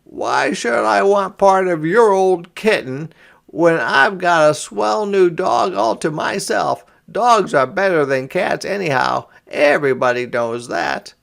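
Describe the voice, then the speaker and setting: A man's voice: high-pitched, slow, creaky, voice pushed up, exaggerated voice. A man playing a boastful stubborn young boy. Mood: jeering. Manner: loud and jeering.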